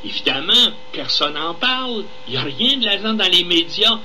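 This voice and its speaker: A man's voice: high voice, big pitch swings, normal speed, pushed and bright, poor audio. A man with a Québécois French accent giving indignant, emphatic political commentary live on the radio. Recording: radio talk with faint background noise.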